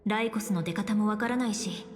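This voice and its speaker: An actress playing a calm, resolute young heroine in a measured, pensive anime-style game monologue. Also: female voice, mid-range voice, slight background noise, lightly acted. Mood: serious, deliberating.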